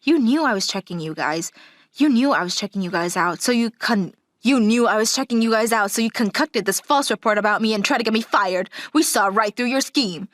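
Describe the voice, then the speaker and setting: Higher-pitched, self-assured auditor played by a woman. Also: fairly quick, fairly bright tone, no echo, studio quality, close to an everyday voice. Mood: cool, triumphant. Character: self-assured, teasing female company auditor. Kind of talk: English manga recap dub acting.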